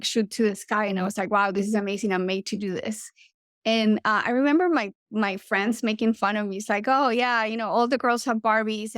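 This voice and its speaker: A woman's voice: medium pitch, dry recording, clean studio sound. A middle-aged woman from Colombia with a Colombian Spanish accent, talking as a guest in an interview, earnest and passionate, with frequent restarts. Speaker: trauma surgeon guest. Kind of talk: casual interview talk.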